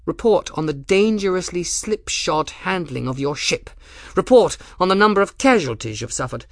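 A man's voice: sits high, animated, moderate pace, dry recording, studio quality, pitched above the performer's own voice. A man voicing an arrogant, sneering male commodore who threatens and belittles. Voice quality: sneering, contemptuous.

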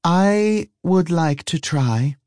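Man voicing a guarded, higher-pitched woman traveler. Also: lively intonation, extremely slow, darkish tone, no room sound, clean studio sound. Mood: brisk, polite. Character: guarded, dry-witted woman traveler, polite and brisk.

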